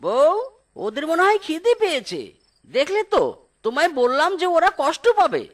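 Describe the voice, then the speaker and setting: Woman playing a high, plaintive poor husband fox. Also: very animated, moderate pace. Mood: fretful. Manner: plaintive, weary, cautious. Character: poor, crippled, resigned husband fox in a cartoon. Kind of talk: children's cartoon voice acting.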